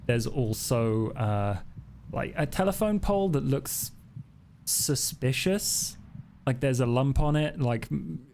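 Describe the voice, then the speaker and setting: A man with an average-pitched voice acts as game master, narrating scenes to players in casual talk. Some noise is audible behind him. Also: expressive, slow, creaky, a little mellow. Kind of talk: narrating a tabletop roleplay game.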